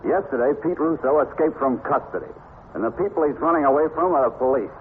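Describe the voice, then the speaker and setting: An actor playing a gruff, impatient American police investigator in a 1950s radio drama, stern and sarcastic. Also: male voice, a little high, quick pace, lightly acted. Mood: skeptical, explaining. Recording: muffled 1950s radio with faint noise.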